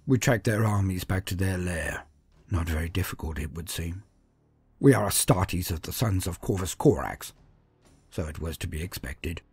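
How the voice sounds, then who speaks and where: A solemn space marine, played by a male storyteller, recounts battle in a low, badly roughened voice. He is martial and full of disdain, in a dark audio story. The recording is dry and close. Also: normal speed, no echo, faint music behind, exaggerated voice. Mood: grim disdain. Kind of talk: first-person dramatic storytelling.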